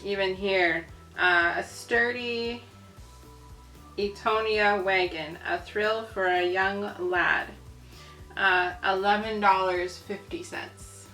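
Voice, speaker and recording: A woman's voice: medium pitch, steady tone, slow pace, bright, forceful tone. A woman hosting a casual video, chatting and marvelling as she reads aloud from old printed material. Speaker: video host. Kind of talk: casual chat while reading aloud from old newspapers. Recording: roomy echo, faint music behind.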